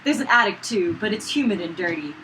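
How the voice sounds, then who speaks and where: A woman voicing a friendly, brisk young florist and landlady, reading game dialogue aloud. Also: medium pitch, animated, voice pushed down, close to an everyday voice. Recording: distant mic with clear background noise.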